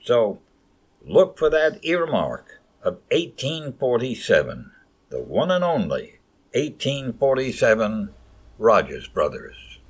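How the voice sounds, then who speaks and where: A man playing an earnest 1940s radio announcer and pitchman, with a husky voice. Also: huge pitch swings, slow pace, full character voice. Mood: earnest, selling.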